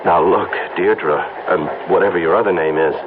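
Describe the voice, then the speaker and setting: An actor playing a flustered, stammering advertising executive in a 1970s radio drama, excitable and smitten. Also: male voice, sits low, moderate pace, natural voice. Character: smitten, flustered advertising man, fast-talking. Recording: tinny lo-fi old radio broadcast with hiss.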